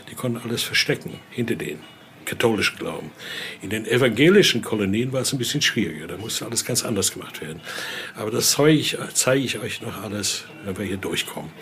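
A man's voice: medium pitch, fairly bright tone. A man explaining things informally as a guide, often checking in with short tags at the end of phrases, recorded in an exhibition room. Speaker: museum guide and expert. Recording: clearly noisy indoor ambience.

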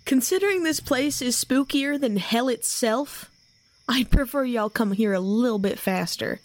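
Nighttime radio host, female, played by an actress: higher-pitched, wry, jittery, informal audio-drama delivery. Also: lively intonation, normal speed, close to an everyday voice. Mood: sarcastic.

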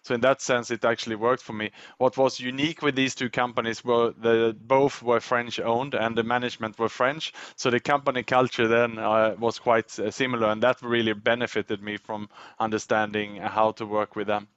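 Middle-aged Swedish-native man, guest interview, steady and thoughtful, smooth voice, dry dull sound. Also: medium pitch, no echo. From Sweden. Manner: measured, with frequent uh fillers. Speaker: podcast guest, author and speaker. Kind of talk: reflective interview talk.

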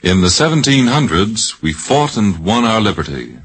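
A man's voice: on the low side, sing-song, normal speed. An American man announcing in a formal, solemn scripted style on a 1950s radio program. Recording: vintage 1950s radio recording.

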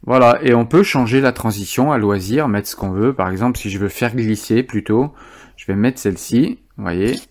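A man's voice: medium pitch, normal speed. Informal man presenting a tutorial. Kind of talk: informal software tutorial, demonstrating step by step.

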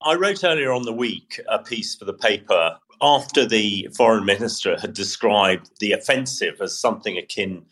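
A middle-aged British man explaining military matters as an analyst on a podcast, in a measured, opinionated way. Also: on the low side, lively intonation, fairly bright tone, rough recording.

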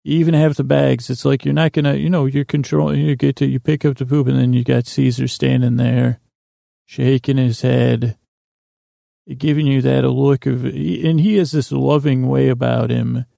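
A man hosting a podcast with a meandering, halting bedtime monologue that keeps drifting off track, in a gravelly, creaky voice. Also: medium pitch, flat delivery, mellow, no echo, clean studio sound. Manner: meandering, halting, digressive, loses his thread. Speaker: podcast host. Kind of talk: rambling bedtime monologue meant to help listeners sleep.

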